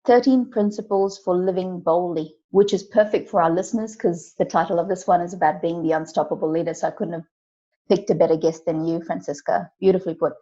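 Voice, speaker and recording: A woman's voice: lowish voice, steady tone, brisk, warm tone. Enthusiastic woman hosting a podcast, dull sound. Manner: enthusiastic, full of praise. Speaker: podcast host. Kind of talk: podcast interview.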